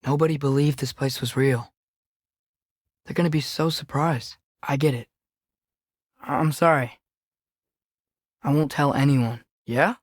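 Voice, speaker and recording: A young man plays a teenage boy hero from a fantasy video game. He sounds earnest and curious, a little hesitant but impulsive, with a mid-pitched boyish voice in a close, dry recording. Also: steady tone, a little slow, pauses often, no echo, close to an everyday voice. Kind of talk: naturalistic video game voice acting.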